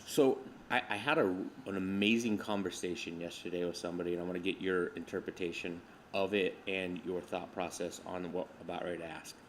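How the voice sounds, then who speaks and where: A man's voice: low voice, steady tone, brisk, few pauses, slight background noise. A man hosting a casual interview, asking eager questions that trip over themselves. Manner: enthusiastic, stumbles over his questions. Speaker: podcast host.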